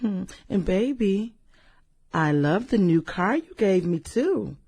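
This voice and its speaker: An actress plays a manipulative, sultry businesswoman in a satirical radio drama. Her voice is husky and breathy, with a slow, drawling pace. She is theatrical and coquettish, and her pitch slides up and down freely. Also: mellow, exaggerated voice. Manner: theatrical, coquettish, drawn-out exclamations and giggles. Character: sultry, demanding, manipulative businesswoman juggling rich lovers.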